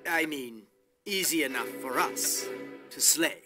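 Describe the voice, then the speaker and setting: A man's voice: on the high side, measured pace, natural voice. A man voicing an arrogant, bloodthirsty young knight in a video game, boastful and scornful in a theatrical way. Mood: smug. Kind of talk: scripted video game voice acting. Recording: loud music under the voice.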